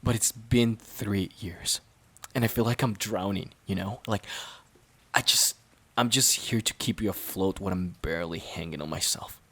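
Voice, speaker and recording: A man acting in an emotional audio drama as an exhausted, resentful boyfriend, halting and pleading with a breathy voice that often drops close to a whisper. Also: fairly low pitch, fairly bright tone. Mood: weary, hurt. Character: exhausted, resentful boyfriend at his breaking point.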